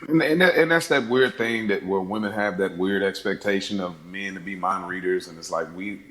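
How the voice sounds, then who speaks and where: Male film podcast panelist, lowish voice, agreeable, casual, slightly halting. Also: a bit bright. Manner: agreeable, halting, frequent affirmations. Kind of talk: casual chat.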